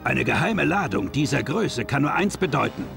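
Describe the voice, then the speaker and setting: A man's voice: medium pitch, big pitch swings, normal speed, gravelly. A man voicing a bumbling, boastful alien villain in a game cutscene, blustering and stumbling over his words. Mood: suspicious, scheming. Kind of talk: animated game cutscene acting. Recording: music behind.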